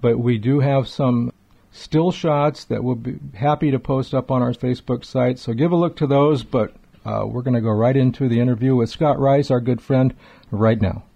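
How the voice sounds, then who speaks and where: A man's voice: mid-range voice, moderate pace, creaky, warm tone. A middle-aged or older man hosting a retro radio talk show, speaking in a chatty, wordy, enthusiastic way with emphatic repetition. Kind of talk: enthusiastic conversational show introduction. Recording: dull, lo-fi sound.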